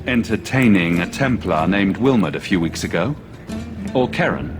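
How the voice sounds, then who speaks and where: An actor playing a determined adventurer in a video game, questioning people in a direct, stern way. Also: male voice, deep voice, expressive, normal speed. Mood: direct, inquiring. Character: firm, determined male adventurer investigating missing recruits. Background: game music.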